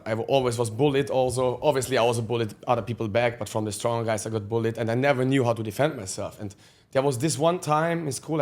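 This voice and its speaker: Blunt man telling his story. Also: medium pitch. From Austria. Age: 30s. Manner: blunt and profane, with loose non-native grammar. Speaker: guest, fight coach. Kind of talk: candid personal storytelling.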